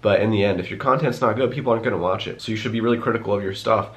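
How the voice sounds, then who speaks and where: Stuffy-nosed young man chatting fast. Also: lowish voice, steady tone, a bit bright. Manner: chatty and rambling, lots of "like" fillers. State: stuffy, congested from a cold. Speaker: YouTuber. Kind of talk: casual Q&A vlog to camera.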